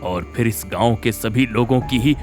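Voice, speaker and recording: A man playing a grave, commanding village holy man in an animated Hindi story. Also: mid-range voice, normal speed, faint music behind, natural voice. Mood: solemn explaining. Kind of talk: Hindi animated moral horror story acting.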